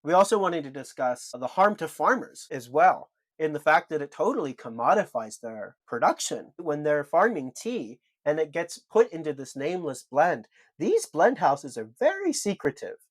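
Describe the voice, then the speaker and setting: Man, fairly high voice, dry close sound, emphatic podcast co-host, lists his points. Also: very animated, moderate pace, no echo. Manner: emphatic, builds arguments in lists. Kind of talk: argumentative podcast discussion.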